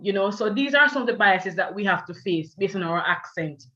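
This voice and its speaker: A woman's voice: mid-range voice, lively intonation, fairly quick, bright, forceful tone, dull audio. A woman with a Jamaican accent hosting an online panel, talking loosely with many "you know" fillers. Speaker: event host.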